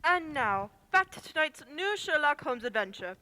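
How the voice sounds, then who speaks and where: A woman narrating a radio play as its announcer. Her voice is high and bright, ringing with long reverb. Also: sing-song, normal speed. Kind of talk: scripted story narration. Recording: long hall reverb, faint noise and clicks.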